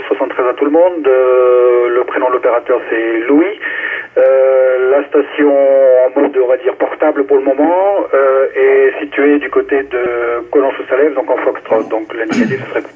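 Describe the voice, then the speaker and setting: A man's voice: medium pitch, moderate pace, breathy edge. A man amateur radio operator checking in hesitantly with his station details. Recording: phone-like, narrow amateur radio audio.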